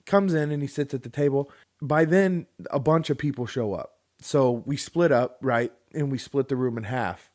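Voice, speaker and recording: A man's voice: mid-range voice, normal speed. A man in his late thirties chatting on a podcast, his voice sitting in an ordinary middle range. He tells a long, rambling story and jumps into the voices of the people in it. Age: 30s. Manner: rambling, animated, acts out quoted people. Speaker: podcast co-host. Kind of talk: casual chat, storytelling.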